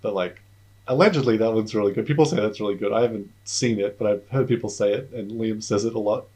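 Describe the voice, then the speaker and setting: Rambling young man, low voice. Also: quick pace, warm tone, slightly rough. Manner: rambling, many filler words and self-corrections. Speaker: podcast guest. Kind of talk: casual chat.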